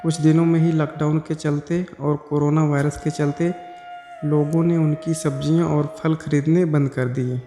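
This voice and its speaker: Male storyteller, higher-pitched and mellow, reading scripted narration with little pitch movement. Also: level tone, normal speed. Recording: echoey hall-like reverb over music. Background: background music.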